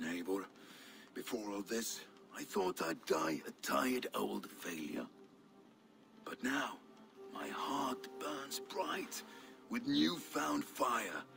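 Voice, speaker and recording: An actor playing a weary older male warrior with a gravelly voice and a rekindled fierce spirit in a video game. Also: mid-range voice, bright, forceful tone, full character voice. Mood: fervent. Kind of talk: dramatic video game acting.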